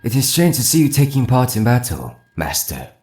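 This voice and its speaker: A man's voice: mid-range voice, huge pitch swings, normal speed, darkish tone, faint music behind. An actor playing an honorable swordsman and devoted pupil, formal and earnest, in a fantasy audio drama.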